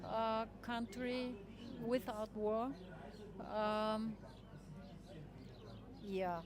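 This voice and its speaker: Slow, hesitant woman in her sixties. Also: a little high, steady tone. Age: 60s. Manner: slow, thoughtful, hesitant with fillers. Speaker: festival participant, parent activist. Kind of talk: street interview. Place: outdoor festival square. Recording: crowd noise outdoors.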